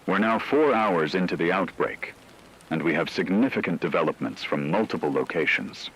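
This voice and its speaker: Deep-voiced male news anchor played by a man. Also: lively intonation, a bit bright, slightly rough, full character voice, low-quality recording. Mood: grave, clinical. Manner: formal, measured, clinical. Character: grave male radio news anchor in zombie apocalypse. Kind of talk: scripted breaking news radio report.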